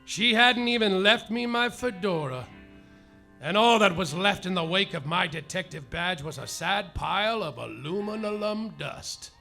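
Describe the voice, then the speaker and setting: A melodramatic noir detective. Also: male voice, sits high, lively intonation, a little slow, vocal fry, sharp tone, soft background music, full character voice. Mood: mopey. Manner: melodramatic noir narration, overwrought. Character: hapless hard-boiled male private detective. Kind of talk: live comedy radio play. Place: theater stage, live.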